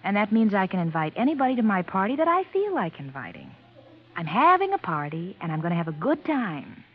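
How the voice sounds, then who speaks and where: An American actress playing a flirtatious, self-willed party hostess in a 1950s radio drama. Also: female voice, mid-range voice, huge pitch swings, a little fast, slightly rough. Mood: defiant. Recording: dull, lo-fi old radio recording.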